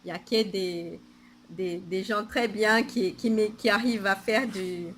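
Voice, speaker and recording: A woman whose first language is Portuguese talks through her knitting projects in a bright, clear voice with a Portuguese accent. She speaks slowly and haltingly, with frequent fillers, restarts and small laughs. A faint room hum sits behind her. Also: mid-range voice, steady tone. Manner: hesitant, many fillers and restarts, laughs often. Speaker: knitting podcaster. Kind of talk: casual knitting vlog.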